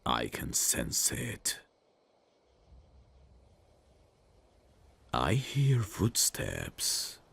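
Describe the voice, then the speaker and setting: Very deep, gravelly vampire count. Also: male voice, a bit bright, full character voice. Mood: hungry, teasing. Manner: slow, courtly, old-fashioned, intimate phrasing. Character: suave male vampire count, courtly, seductive, quietly menacing. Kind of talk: ASMR roleplay acting. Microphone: close dry studio mic.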